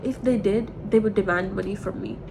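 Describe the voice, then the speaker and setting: A woman's voice: fairly even intonation, moderate pace. A woman in her twenties talking candidly and emotionally in a rambling personal confession, repeating herself for emphasis. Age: 20s. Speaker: vlogger. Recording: clearly noisy with low rumble.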